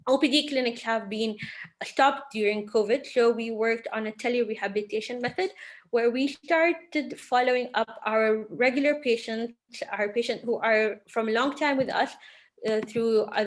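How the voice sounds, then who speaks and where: Female speech therapist, earnest webinar presenter, higher register, halting with fillers. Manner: earnest, with frequent fillers and restarts. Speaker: speech language pathologist. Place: online webinar from home or office.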